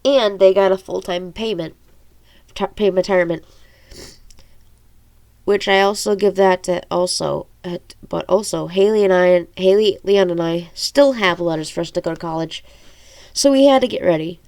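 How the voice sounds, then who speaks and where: A woman's voice: on the low side, moderate pace, darkish tone. A woman with a congested, allergy-stuffed nose reads her own fantasy story aloud as an amateur audiobook narrator, informal and stumbling over words now and then. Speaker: amateur audiobook reader and author.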